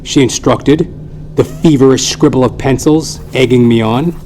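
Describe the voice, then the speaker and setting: A man's voice: mid-range voice, normal speed, dark tone, slight background noise. A man narrating a scripted comic story in a theatrical, mock-grandiose, wry manner. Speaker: comedy podcast host, storyteller. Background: music.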